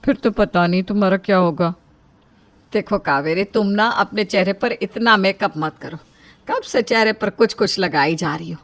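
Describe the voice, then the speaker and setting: A woman's voice: medium pitch, normal speed, natural voice. A woman voicing a bossy, worried middle-aged mother who scolds and pleads in a cartoon story. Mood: scolding.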